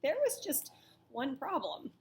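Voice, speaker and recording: Female children's read-aloud teacher. High-pitched, very unhurried, dramatic storytelling, puts questions to young listeners. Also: sing-song, a little mellow, a little hiss or hum. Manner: deliberate, dramatic storytelling delivery.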